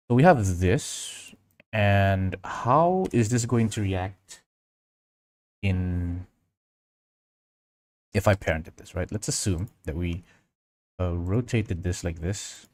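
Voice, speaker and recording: A man's voice: deep voice, expressive, slow, pauses often, a little mellow. A man from Indonesia hosting a casual livestream tutorial, thinking aloud as he works and chatting with viewers. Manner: thinking aloud, reading out and answering chat. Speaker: livestream host. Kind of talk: casual livestream tutorial chat while working.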